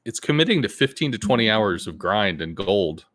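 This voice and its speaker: A man hosting a casual gaming podcast, laid-back and profane, who jumps into high yelled voices when quoting other players. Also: very animated, moderate pace. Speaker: podcast host.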